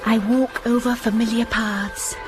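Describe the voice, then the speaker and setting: An actress playing a young English governess, narrating in the first person with measured, wistful delivery over music. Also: female voice, on the high side, flat delivery, lightly acted. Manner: measured, wistful, rising to urgent. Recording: music under the voice.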